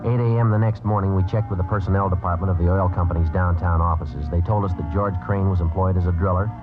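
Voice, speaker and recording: Dogged police sergeant, played by a male actor, terse and quick, flat delivery, vintage radio crime serial sound. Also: low-pitched, lightly acted. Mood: matter-of-fact. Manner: clipped, deadpan. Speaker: lead actor and narrator. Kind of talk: 1950s radio crime drama acting. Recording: tinny vintage radio, music behind.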